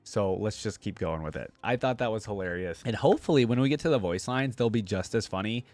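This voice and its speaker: Young male reaction streamer, on the low side, casual think-aloud commentary, dry close-miked sound. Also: lively intonation, fairly quick, a little mellow, no echo, faint music behind. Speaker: reaction video host. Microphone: close desk microphone on arm.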